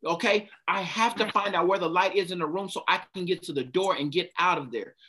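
A man's voice: sits high, brisk, sharp tone, a little hiss or hum. A man giving a passionate motivational talk, stopping often to ask his listeners questions. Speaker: speaker leading a group talk.

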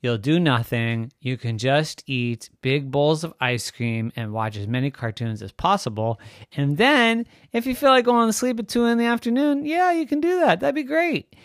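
A man doing a loud, playful storybook dad. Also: on the high side, moderate pace, no room sound, studio quality, pitched above the performer's own voice. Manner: raised, sing-song, animated.

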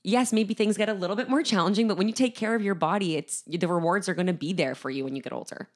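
A woman's voice: mid-range voice, quick pace. A woman co-hosting a casual podcast, enthusiastic and emphatic. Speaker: podcast co-host.

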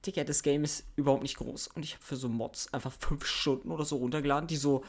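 A man's voice: a little high, steady tone, quick pace. A young man co-hosting a podcast, chatting loosely in heavy youth slang over a home mic on a call. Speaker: podcast co-host. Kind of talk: casual chat with youth slang. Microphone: home microphone over internet call.

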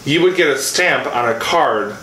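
A man's voice: mid-range voice, lively intonation, normal speed, pushed and bright. A man, a musician guest chatting in an upbeat, easy way. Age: 30s. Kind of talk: casual chat. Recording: echoey room with faint noise.

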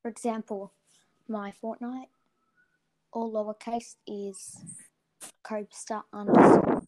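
A woman's voice: medium pitch, level tone, slow, warm tone, rough recording. Hesitant young woman gamer on a cheap mic. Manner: hesitant, many ums and trailing sentences. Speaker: interview guest, gaming YouTuber. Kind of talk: casual chat. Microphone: cheap built-in mic.

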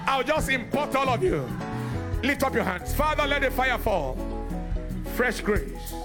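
A man's voice: very high-pitched, very animated, a little slow. A man preaching and praying over a congregation, giving commands and repeating phrases for emphasis. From Nigeria. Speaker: guest preacher. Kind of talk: charismatic sermon and prayer ministry. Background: music.

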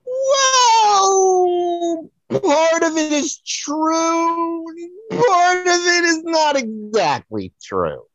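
A man's voice: very high-pitched, big pitch swings, extremely slow, fairly bright tone, no echo. A man co-hosting a comedic podcast skit with a hesitant, needling manner, on a dull, dry microphone. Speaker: podcast co-host and showrunner.

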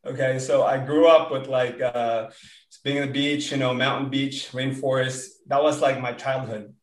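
Man from the philippines, podcast guest, relaxed friendly chat, roomy echo, off-mic. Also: medium pitch, normal speed, far from the mic. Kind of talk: casual interview talk.

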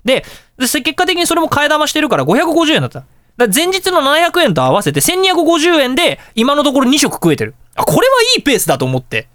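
A man hosting a radio show, telling anecdotes in a casual, chatty, animated way. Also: very high voice, fast talker, dry recording, studio quality. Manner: animated, chatty storytelling with colloquial asides.

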